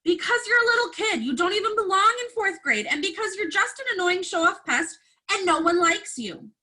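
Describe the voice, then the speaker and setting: Storybook older brother voiced by a woman: resentful schoolboy, blunt, accusing, high bright voice. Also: animated, a little fast, full character voice. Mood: angry, taunting.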